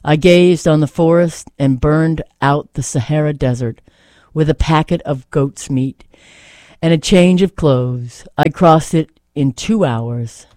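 Mellow, higher-voiced man, close and dry. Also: a little slow, no echo. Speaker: host reading poems aloud. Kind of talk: casual rambling talk and poetry reading.

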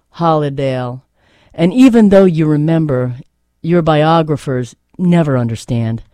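A man with a fairly high, mellow voice hosts a poetry reading. He is reflective and casual, and tends to repeat a phrase for emphasis. The sound is dry, with hardly any room around him. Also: dry recording. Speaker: host reading poems aloud.

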